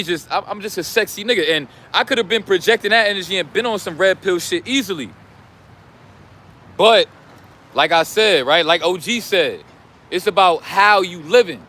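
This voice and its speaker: A man's voice: sits high, bright, forceful tone, slight background noise. A young man coaching in a casual, blunt, preachy way, full of slang and profanity. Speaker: life coach. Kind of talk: casual Patreon talk.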